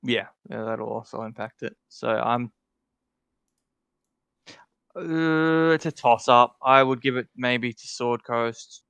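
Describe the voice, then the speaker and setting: A man's voice: medium pitch, slow, creaky, long pauses, fairly bright tone, smooth, no room sound. A man co-hosting a casual podcast chat who thinks aloud with many fillers and restarts. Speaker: podcast co-host ranking game alliances.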